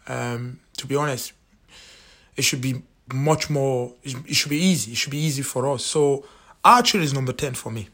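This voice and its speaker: A man from Cameroon with a Cameroonian accent, talking casually through a countdown list, animated and full of fillers and restarts. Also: mid-range voice, moderate pace. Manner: animated, repeats himself, many fillers. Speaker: vlogger.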